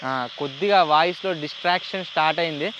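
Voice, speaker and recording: Telangana telugu guy hosting a gadget test vlog, talks quick, high voice, english words mixed in, bit of outdoor noise. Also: fairly even intonation, highs cut off. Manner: mixes English words into speech. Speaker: vlog host. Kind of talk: presenting a gadget test to camera. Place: outdoors in open area with trees.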